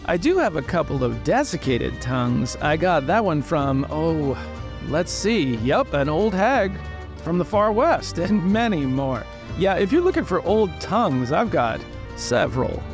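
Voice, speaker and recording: A man voicing an old, boastful, eager antiques seller in a tabletop game, rambling through his sales patter. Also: fairly high pitch.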